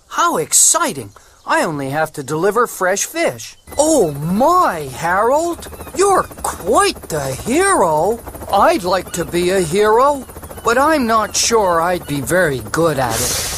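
A woman voicing a kind, gentle female steam engine from a cartoon. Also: medium pitch.

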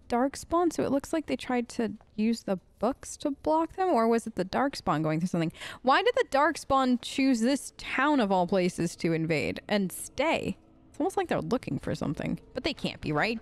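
A woman doing playful, chatty role-play commentary over a game, talking to the characters as she plays. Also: fairly high pitch. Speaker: gamer playing in character.

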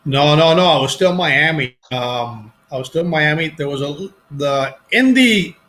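A man with a Cuban accent talks through his career over a video call on a headset. His voice is bright and a touch high, and he tells his stories in an animated way, breaking off and restarting sentences as he goes. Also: moderate pace. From Cuban family. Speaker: actor, interview guest. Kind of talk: casual storytelling interview. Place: home room, video call.

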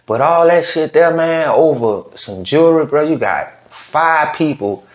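Slow drawling man, lively pitch. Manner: drawling, incredulous, slangy. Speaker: reaction video host. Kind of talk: casual reaction commentary. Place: virtual news studio set. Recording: lo-fi, roomy sound.

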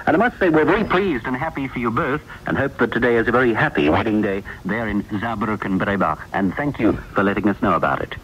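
Lively man presenting on muffled shortwave radio. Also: mid-range voice. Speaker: radio show host. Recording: dull shortwave radio recording, faint noise.

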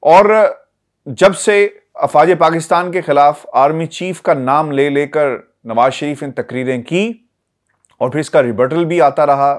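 Pakistani guy in his 40s, political commentator talking to camera, deliberate and earnest, medium pitch. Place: small indoor studio, seated. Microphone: lapel mic.

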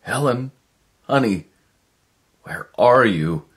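A man's voice: mid-range voice, expressive, slow, husky, voice pushed up. A man voicing a lonely, desperate man in a story, earnest and pleading.

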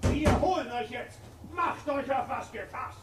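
High-pitched, sneering crook boss. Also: male voice, a little slow, echoey room, heavy background noise. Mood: threatening. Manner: sneering, exasperated, commanding. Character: scheming irritable male crook boss, contemptuous of his henchman. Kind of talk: German children's audio drama acting.

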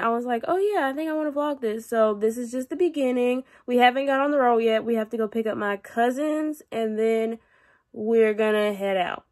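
Young girl vlogging from her bed, kinda stuffy, talks fast and rambly. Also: a little high. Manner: chatty, rambling, quick asides. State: stuffy, runny nose. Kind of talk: casual vlog chat. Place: bedroom at home. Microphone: phone held low at arm's length.

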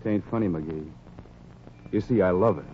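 Man playing a lovelorn American husband, lowish voice. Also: normal speed, lightly acted. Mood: sheepish, earnest. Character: lovelorn, earnest working-class husband, an old pal. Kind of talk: 1940s radio comedy acting. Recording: dull, hissy 1940s radio recording.

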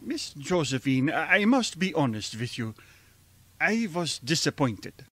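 Guy playing shy german professor in an old-style radio play, halting, voice swoops around, slow. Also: on the high side, very animated, pushed and bright, no room sound, exaggerated voice. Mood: earnest, apologetic. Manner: hesitant, halting. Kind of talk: old-fashioned radio drama acting.

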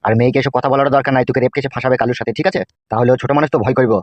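A man's voice: medium pitch, fairly even intonation, racing, dry recording, dull audio, close to an everyday voice. A man playing a bossy, scheming, foul-mouthed gangster politician in a comedy cartoon. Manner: bossy, slangy, mock-sweet when coaxing.

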